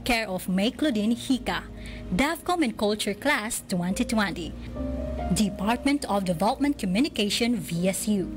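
A woman with a Filipino accent reading a radio drama's credits in a steady, measured way. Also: mid-range voice. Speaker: credits announcer. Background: background music.

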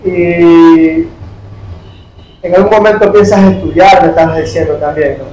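A man interviewing and gently questioning a guest, often repeating words as he goes. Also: fairly high pitch, fairly even intonation, unhurried. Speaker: interviewer giving money. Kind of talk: scripted charity interview. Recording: echoey room with music behind.

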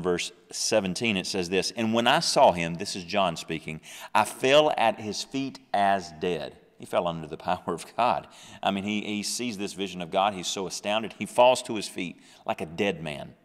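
A man's voice: deep voice, normal speed, in a large hall. A man in his thirties teaching the Bible on a podcast, earnest, reading verses with swelling emphasis, at a close desk microphone in a small studio. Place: small studio with a dark backdrop. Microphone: large desk microphone close up.

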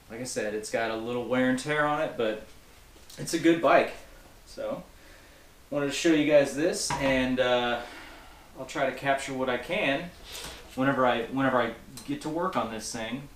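A young man chatting casually to camera in his home garage, relaxed and rambling with a lot of restarts. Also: mid-range voice, normal speed. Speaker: motorcycle restoration vlogger. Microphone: camera-mounted mic at a distance. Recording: echoey garage.

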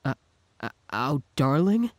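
A man's voice: medium pitch, sing-song, slow pace, no room sound. A man playing a timid, lovestruck teenage schoolboy in an audio roleplay, stammering and hesitant. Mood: nervous, flustered. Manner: stammering, hesitant, breathless eagerness. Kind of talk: scripted ASMR roleplay acting.

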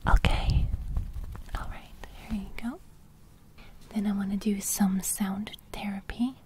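A young woman whispering a gentle ASMR roleplay very close to the microphone, breathy, softly repeating phrases. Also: medium pitch, fairly even intonation, takes it slowly, noisy background. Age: young adult. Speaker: ASMR host roleplaying a sleep fairy. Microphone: close studio microphone.

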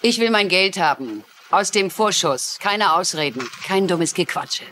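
An actress playing a sharp, sardonic estranged wife with blunt demands, in a German-dubbed mystery drama. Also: female voice, on the low side, expressive, a little slow, slight background noise. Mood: cold, sardonic. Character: sharp, scornful estranged wife, hard-nosed businesswoman.

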